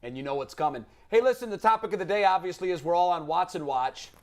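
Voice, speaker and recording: A man's voice: sits high, animated, fast talker. A man hosting a sports show in a casual, conversational, agreeable way.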